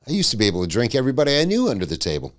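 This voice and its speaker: A man co-hosting a casual podcast, telling quick, profane anecdotes with lively delivery. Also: mid-range voice. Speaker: podcast co-host. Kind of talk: casual chat.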